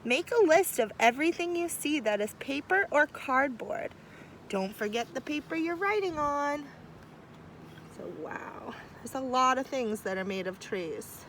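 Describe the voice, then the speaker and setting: A woman's voice: high voice, a little hiss or hum. A woman teacher reading a picture book aloud to children, with chatty asides. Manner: slow, warm storytime delivery with lively asides. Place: outdoors near trees.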